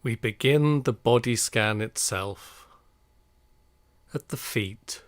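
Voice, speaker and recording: A man guiding a relaxation meditation in a calm, soothing, unhurried manner. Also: mid-range voice, no room sound. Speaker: meditation guide.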